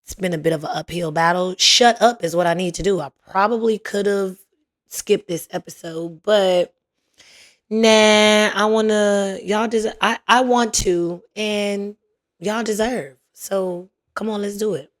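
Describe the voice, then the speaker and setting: A young woman hosting her own podcast in casual chat, her voice raspy and hoarse as it recovers, talking playfully with lots of slang. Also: medium pitch, fairly even intonation. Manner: chatty, playful, slangy, drawn-out emphasis. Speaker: podcast host.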